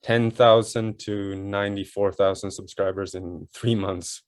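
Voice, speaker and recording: A young Swedish man talks easily as a guest, with a Swedish accent and many fillers. His voice sits low and sounds dry and close. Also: measured pace, no echo. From Sweden. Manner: relaxed, chatty, many fillers and restarts. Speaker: guest, model and home renovator. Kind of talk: casual interview chat.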